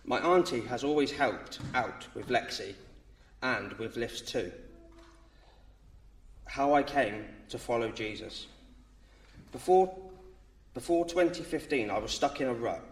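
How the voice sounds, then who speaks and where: Man, thirties, nervous halting reading, echoey hall, distant mic. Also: mid-range voice, expressive. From England. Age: 30s. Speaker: baptism candidate. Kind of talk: reading a testimony aloud. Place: church. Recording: roomy church sound from off mic.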